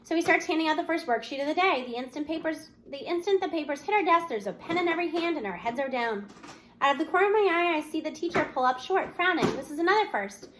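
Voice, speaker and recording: A woman's voice: sits high, expressive, fast, a bit bright, slight background noise. A woman reading a children's novel aloud expressively, narrating as a student in the first person. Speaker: audiobook-style reader.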